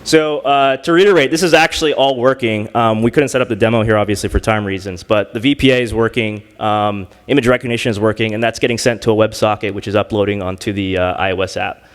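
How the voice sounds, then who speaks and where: A man presenting his hackathon team's project on a conference stage, halting and full of fillers. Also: lowish voice, steady tone. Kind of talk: live pitch presentation. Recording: long reverb from a hall.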